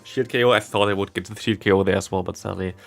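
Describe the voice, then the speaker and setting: A German man with a fairly low voice chats casually as he livestreams a game. His English carries a German accent, and he rambles in a self-deprecating way. Faint music plays behind him. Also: fairly even intonation, quick pace, creaky, fairly bright tone. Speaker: livestreamer and content creator. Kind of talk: casual live game commentary and chat. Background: background game music.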